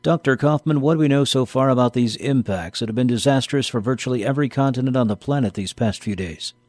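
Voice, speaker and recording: A man's voice: medium pitch, fast, a little mellow, no room sound, lightly acted. An actor playing an earnest television news anchor in a radio drama, polished, grave and insistent as he interviews. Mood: grave, businesslike. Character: earnest male TV news anchor amid a crisis.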